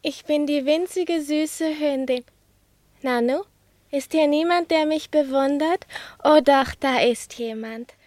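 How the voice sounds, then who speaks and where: A woman's voice: high-pitched, takes it slowly, darkish tone, no echo, studio quality. A woman playing a tiny, vain, coquettish young female lapdog in a radio play, with an affected, boastful, coy manner. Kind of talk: German radio play acting.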